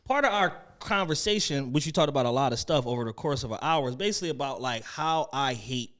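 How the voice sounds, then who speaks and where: A man's voice: mid-range voice, animated, quick pace, fairly bright tone. A man in his thirties giving emphatic, slangy opinions with swearing, in casual podcast banter. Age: 30s.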